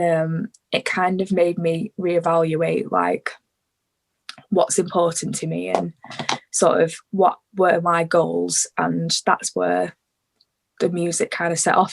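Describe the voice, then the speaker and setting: A woman's voice: lowish voice, vocal fry. A young woman in her twenties with a northwest English accent, chatting casually as a podcast guest and laughing easily. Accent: northwest English, between Liverpool and Manchester. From northwest England. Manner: chatty, laughs often, trails off softly. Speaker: guest musician. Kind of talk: casual conversation.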